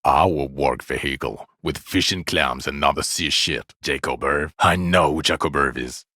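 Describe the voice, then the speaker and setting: An actor playing an exasperated, foul-mouthed police partner in a video game, world-weary, sarcastic and contemptuous in a gravelly voice. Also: male voice, bright, forceful tone, dry recording, clean studio sound, exaggerated voice. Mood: sarcastic, bitter. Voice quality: gravelly, low. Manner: sardonic, weary, contemptuous, deliberate scolding. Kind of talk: video game character voice acting.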